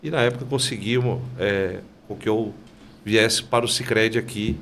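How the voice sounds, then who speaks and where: A man, a bank manager guest on a podcast, telling his story calmly and often tagging his sentences with "né?". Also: fairly low pitch, moderate pace, slight background noise. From Santa Catarina, Brazil. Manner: calm, storytelling, frequent "né?" tags. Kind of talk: casual podcast interview.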